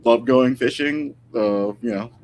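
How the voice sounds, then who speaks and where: A man's voice: medium pitch, measured pace, darkish tone, low-quality recording. A man talking casually and thoughtfully as a guest historian in an informal interview, picking his words tentatively over a video call.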